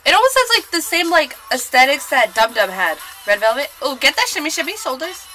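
A woman's voice: high voice, sing-song, fairly quick, sharp tone, soft background music. A congested young woman chatting casually in a slangy, rambling way as she reacts on camera in a bedroom. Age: young adult. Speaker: reaction video vlogger. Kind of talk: casual chat, excited music video reaction. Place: small bedroom with bunk bed, indoors.

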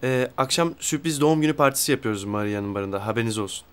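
An actor playing a brisk, scheming office colleague in a TV drama. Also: male voice, medium pitch, fairly bright tone, smooth, natural voice. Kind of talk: TV drama acting. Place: office, on set.

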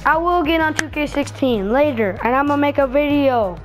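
Young game streamer, high voice, slangy rambling chatter, faint music behind. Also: brisk. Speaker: gaming streamer. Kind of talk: casual gameplay stream chat.

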